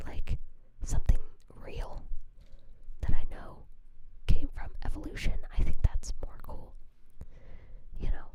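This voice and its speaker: A young woman streamer chatting in a steady whisper, rambling and swearing freely as she mocks herself. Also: low-quality recording. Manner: whispering throughout. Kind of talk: whispered casual livestream chat.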